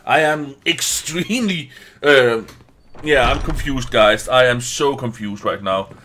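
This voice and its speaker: A man's voice: medium pitch, measured pace, fairly bright tone, a little hiss or hum. A man doing casual let's play commentary, reacting aloud in a crude, jokey, exclamatory way.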